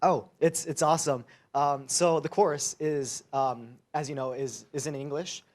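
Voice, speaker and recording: A man's voice: fairly high pitch, a little slow. A young man, a university student, talking casually with lots of ums. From United States. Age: young adult. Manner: casual, relaxed, many ums. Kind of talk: casual guest chat.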